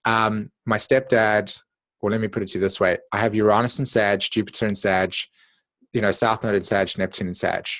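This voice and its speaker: A man with a South African accent giving an informal, reflective talk in an online meeting, haltingly repeating words and checking in with his listeners. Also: on the low side, fairly even intonation, a bit bright. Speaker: astrologer presenting at an online meeting. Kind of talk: informal spoken lecture with personal reflections.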